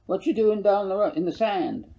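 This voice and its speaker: Guy doing a curious farm kid from a storybook, eager, pitched up from his own voice. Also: expressive, moderate pace, gravelly, dark tone, poor audio. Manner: eager, questioning. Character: eager, curious young country boy. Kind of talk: audiobook character voice.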